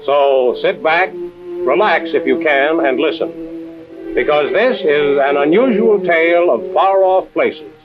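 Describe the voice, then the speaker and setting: Composed intelligence chief, tinny old radio. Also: male voice, on the high side, music in the background. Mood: inviting, deliberate. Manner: measured, businesslike, dry. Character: composed, authoritative intelligence chief and adventure storyteller. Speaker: host and narrator-character. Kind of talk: old-time radio adventure drama acting. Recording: tinny vintage radio recording.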